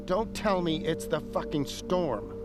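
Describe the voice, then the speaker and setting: Animated, paranoid powerful man. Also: on the high side, takes it slowly. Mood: paranoid, insistent. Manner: agitated, insistent. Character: paranoid, demanding, foul-mouthed powerful man. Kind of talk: audio drama acting. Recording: clear music behind the voice.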